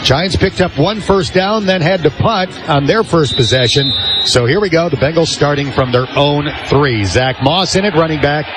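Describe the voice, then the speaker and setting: High-pitched American football play-by-play man. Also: moderate pace, over music. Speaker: radio play-by-play announcer. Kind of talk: live American football commentary.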